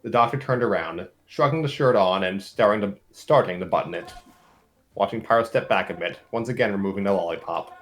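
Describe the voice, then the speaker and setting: A man's voice: fairly low pitch, brisk, a bit bright. A man narrating a comic story aloud in an informal group setting, with casual asides.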